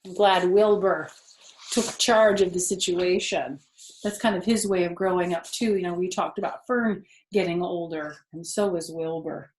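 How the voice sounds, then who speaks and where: A woman reading a children's story aloud expressively, pausing to explain things to young listeners. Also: on the low side, steady tone. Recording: roomy indoor echo.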